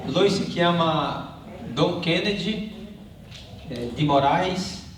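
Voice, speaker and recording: A man's voice: fairly high pitch, very slow, a crowd in the background. A man, a priest interpreting live for a congregation, hesitant and searching for words, in a church. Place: large reverberant church. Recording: echoey church, distant mic, faint noise.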